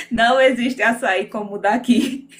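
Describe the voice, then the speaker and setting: A woman's voice: a little high, fairly even intonation, a little slow. Teasing Northeastern Brazilian woman on a call. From Northeast Brazil, Mossoró. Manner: chatty, teasing, playful. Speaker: guest author. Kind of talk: casual chat on a live video call. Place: home room with air conditioning. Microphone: video call.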